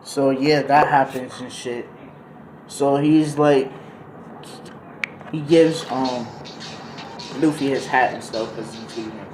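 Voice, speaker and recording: A man's voice: medium pitch, steady tone, takes it slowly, long pauses, a little mellow. A man telling a story casually in slangy, rambling speech, improvising as he goes and drifting into tangents. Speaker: video host. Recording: roomy echo, music behind voice. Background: music beat.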